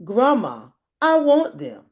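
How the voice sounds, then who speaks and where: Young storybook boy, read by a woman: earnest, wistful, emphatic, with swooping pitch. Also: huge pitch swings, slow, lo-fi sound, heavily acted. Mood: pleading. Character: young schoolboy, earnest and longing, storybook narrator.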